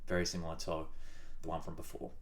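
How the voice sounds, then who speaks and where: A low-pitched man, audibly congested from a cold, explains graph sketching on a whiteboard. His delivery is chatty and upbeat, with playful asides along the way. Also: monotone, quick pace. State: sick, blocked-up nose. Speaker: maths tutor. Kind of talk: casual whiteboard maths tutorial.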